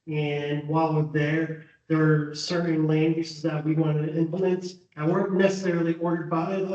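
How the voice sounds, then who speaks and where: A man, a planning board member, explaining proposed text amendments at a city meeting, measured and full of "uh" and "um". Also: fairly high pitch, darkish tone. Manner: measured, with frequent "uh" and "um". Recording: echoey chamber, distant muffled mic.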